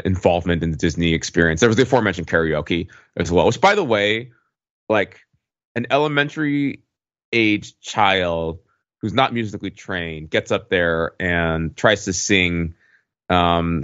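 A man with a very low voice tells stories in relaxed podcast conversation, wandering and pausing as he goes. The sound is slightly dull and lo-fi, with noticeable room echo. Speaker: podcast regular. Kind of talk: casual chat, anecdote telling.

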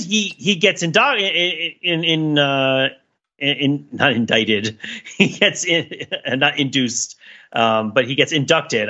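A man's voice: mid-range voice, bright, forceful tone, dull audio. A man hosting a podcast, talking casually and analytically in a wordy way that circles around his point. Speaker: podcast host. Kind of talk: casual analytical discussion of a TV show.

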